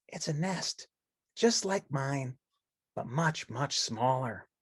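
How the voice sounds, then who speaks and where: A big, gentle bird with a childlike heart, voiced by a woman for a children's storybook. The voice is raised and husky, curious and full of naive wonder. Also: rumbling low, lively intonation, slow pace, no room sound, clean studio sound, pitched above the performer's own voice, exaggerated voice. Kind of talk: children's storybook read-along character voice.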